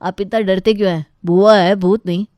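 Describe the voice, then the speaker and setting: A woman's voice: mid-range voice, fairly even intonation, moderate pace, darkish tone, dry recording, lightly acted. Woman as a bold, cheeky young son.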